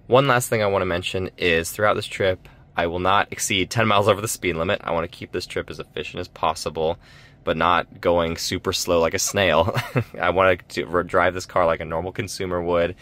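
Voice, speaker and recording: Deep-voiced man vlogging from his car. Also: steady tone, brisk, a bit bright. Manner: chatty, upbeat, talks to viewers as "guys". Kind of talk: casual road trip vlog. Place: inside a parked or moving car.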